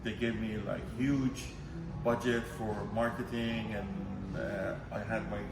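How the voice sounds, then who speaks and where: A man from Iran, a food critic guest on a podcast, speaking haltingly with many restarts and fillers. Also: fairly low pitch, fairly even intonation. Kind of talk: podcast interview. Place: studio in Dubai. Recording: echoey room, mic at a distance, music underneath.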